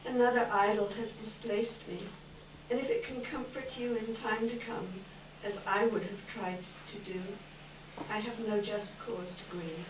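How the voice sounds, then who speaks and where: A woman's voice: mid-range voice, fairly even intonation, lightly acted. Woman as solemn young fiancée, echoey and noisy. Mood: sorrowful, resolute. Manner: measured, solemn, formal. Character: sorrowful, dignified young fiancée, gently resolute. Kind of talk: readers theater stage reading. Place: indoor live event, distant microphone. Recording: distant, echoey hall with clear noise.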